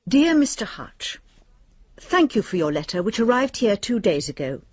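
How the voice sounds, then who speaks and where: An actress playing a courteous, officious British bank lending advisor reading formal letters in a radio drama. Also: female voice, mid-range voice, very animated, normal speed, darkish tone, slightly rough, no room sound, dull audio. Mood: formally courteous.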